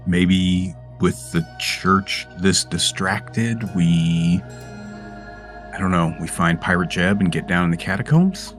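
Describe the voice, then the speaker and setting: Slow-talking, low-voiced man playing tabletop. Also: fairly bright tone, soft background music. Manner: slangy, swearing. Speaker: tabletop game player. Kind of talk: casual in-game chat.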